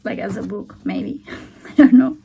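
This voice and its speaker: A young Turkish-accented woman talks slowly, pausing as she thinks things over, her voice carrying a gravelly fry. She muses in a hesitant, unhurried way and now and then drops into soft whispered asides, like someone recording at home on the floor. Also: medium pitch, a little hiss or hum. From Turkey. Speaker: vlogger. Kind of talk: casual thinking-aloud vlog. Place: at home, sitting on the floor beside a heater.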